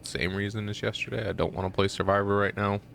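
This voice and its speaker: A man with a very deep, gravelly, nasal voice chats casually while streaming a game. He sounds stuffed up and sleepy, and he rambles with plenty of fillers. Faint noise hangs in the background. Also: fast talker. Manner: rambling, relaxed, many fillers. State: congested and just woke up. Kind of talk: casual gameplay commentary.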